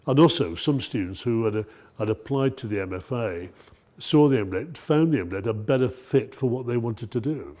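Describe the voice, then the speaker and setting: A man on the art school staff recalling how a programme was planned, halting and repeating words as he restarts. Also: medium pitch, animated, mellow. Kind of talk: documentary interview.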